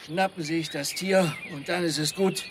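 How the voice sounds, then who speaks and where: An actor playing a grumpy, pedantic neighbour who hates cats, gruff, scolding and coarse, in a German radio crime drama. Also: male voice, a little high, steady tone, normal speed, heavy background noise. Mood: indignant scolding.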